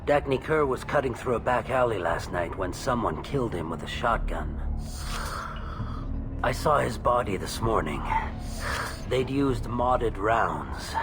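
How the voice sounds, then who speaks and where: A man's voice: on the low side, lively intonation, a little slow, noisy background. A man playing an anxious, put-upon alien merchant in a video game, with a nervous, aggrieved manner. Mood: wary, grim.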